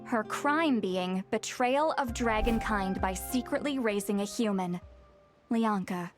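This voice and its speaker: A woman voicing a proud, sharp-tongued young shaman in a video game, explaining lore in a wry, lecturing way. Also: mid-range voice, measured pace, lightly acted. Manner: wry, lecturing, slightly haughty. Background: game soundtrack music.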